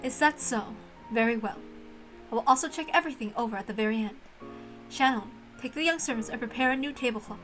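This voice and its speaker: A woman voicing a high-strung, exacting lady of a mansion, stern and formal as she commands servants. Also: fast, fairly bright tone, faint music behind, lightly acted, low-quality recording. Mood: brisk orders.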